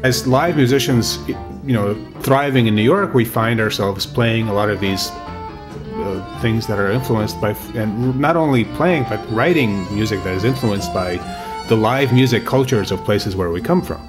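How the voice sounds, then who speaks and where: Chatty low-voiced man over music. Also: moderate pace. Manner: relaxed and chatty, with fillers. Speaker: bandleader and composer. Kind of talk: casual interview talk. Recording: voice with loud music behind.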